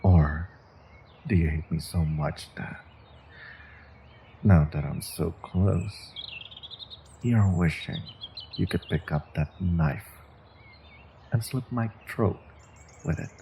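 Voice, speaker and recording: A man's voice: low voice, takes it slowly, creaky, pauses often, warm tone, a little hiss or hum, exaggerated voice. A man playing a menacing, condescending evil sorcerer in a fantasy audio roleplay, speaking to a captive with theatrical deliberation. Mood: menacing, taunting. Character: menacing, controlled evil male sorcerer, captor taunting prisoner. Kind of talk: scripted fantasy audio roleplay, intimate second-person monologue.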